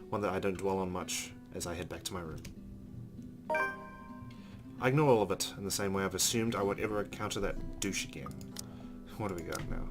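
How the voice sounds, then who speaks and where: A man hosting a let's play, reading a visual novel's narration aloud in an expressive storytelling way. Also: lowish voice. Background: background music with guitar.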